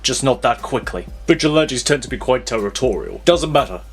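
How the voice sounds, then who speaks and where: A man voicing a grim, terse male archer vigilante who talks low and clipped. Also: animated, brisk, soft background music, natural voice. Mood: stern.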